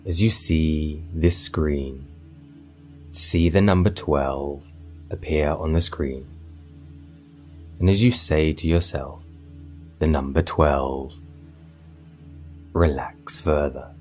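A man narrating a scripted guided meditation in a soothing, hypnotic, measured way with long pauses. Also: rumbling low. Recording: voice over meditation music. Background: soft meditation music.

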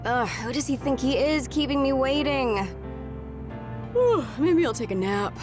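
A woman's voice: high voice, lively intonation, unhurried, music in the background. An actress playing an impatient, lazy young woman fighter in an anime game dub. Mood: huffy, lazy.

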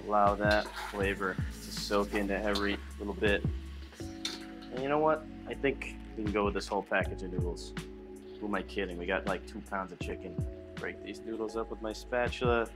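A young man talking viewers through a recipe in a small studio kitchen set, relaxed and chatty, with music playing underneath. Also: on the low side, fairly quick. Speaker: cooking vlogger. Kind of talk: casual cooking demonstration. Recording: clear background music under voice.

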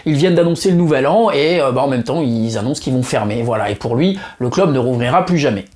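A man in his thirties hosts his channel from home. He talks straight to the camera, chatty and animated, at an ordinary pitch. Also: quick pace. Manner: chatty, animated, many fillers. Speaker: YouTube host. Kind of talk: narrated video then interview. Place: home room, indoors.

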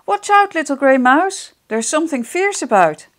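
A woman's voice: sits high, very animated, normal speed, voice pushed up. A woman voicing a timid female animal friend in a children's storybook, exclaiming anxiously. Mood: alarmed.

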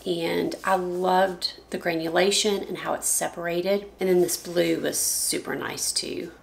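A woman in her thirties speaks in a lowish, deeply gravelly voice made hoarse by allergies. She chats casually at her desk about her art supplies, correcting herself as she goes and dropping into soft asides. A faint hum of room noise sits under her voice. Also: steady tone, unhurried. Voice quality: scratchy, gravelly voice. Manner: chatty, self-correcting, drifts into soft asides. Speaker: art vlogger. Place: home art studio, indoors. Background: faint room noise, dog scratching.

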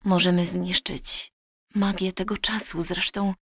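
An actress playing a cautious, thoughtful young wife in audio drama dialogue. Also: female voice, on the low side, little pitch movement, unhurried, a bit bright, breathy edge, no room sound, clean studio sound, full character voice.

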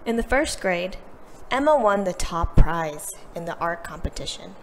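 A woman's voice: on the low side, normal speed, big-room sound. A woman reads a children's picture book aloud expressively, acting out the characters' lines. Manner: animated delivery, acting out the characters' quoted lines. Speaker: storybook reader. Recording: clearly noisy background.

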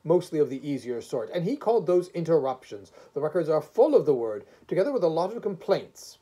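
A stern, unseen judge from a storybook, acted out by a reader. The voice is husky and soft-edged, swinging in pitch while staying formal, exacting and dismissive. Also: big pitch swings, a little fast. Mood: stern, grudging.

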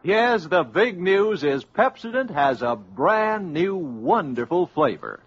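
An American man delivering a 1950s radio advertising pitch. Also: big pitch swings, slow pace, faint music behind. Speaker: commercial announcer. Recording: muffled 1950s radio recording.

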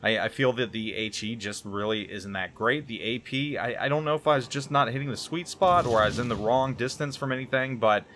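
A man's voice: mid-range voice, fairly quick, few pauses, pushed and bright, a little hiss or hum. Rambling man reviewing a game. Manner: rambling, hesitant, repeats words. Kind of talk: casual gameplay commentary.

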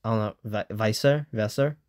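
A woman with an unusually deep, low voice talks casually while rating game characters. She hesitates often, with many fillers and restarts that break up her sentences. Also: normal speed, a little mellow. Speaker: host.

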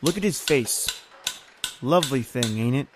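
A man's voice: medium pitch, expressive, normal speed, noisy background, close to an everyday voice. Man acting a grim, taunting island overseer. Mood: bitter, taunting. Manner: folksy, bitter, uses "aye" and "ain't". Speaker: actor in audio horror drama. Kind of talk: old-time radio horror drama acting.

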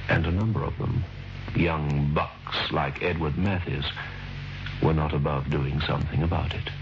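A man playing a solemn, wry storyteller, narrating a radio suspense tale with measured, dramatic pauses. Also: a bass voice, background noise. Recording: dull vintage radio recording over music.

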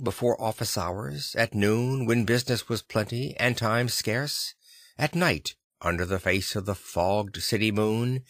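A man reading literary fiction as an audiobook narrator, with a measured, deliberate storytelling delivery. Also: medium pitch, no room sound, studio quality.

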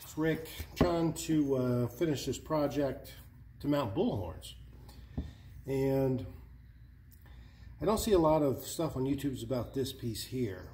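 A middle-aged man talks casually through a hands-on DIY job. His voice is relaxed and dark, and his delivery is slow and halting. A faint-to-clear bed of garage noise sits behind him. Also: medium pitch. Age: 50s. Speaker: DIY hobbyist host. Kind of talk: casual hands-on workshop narration. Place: indoor garage workshop.

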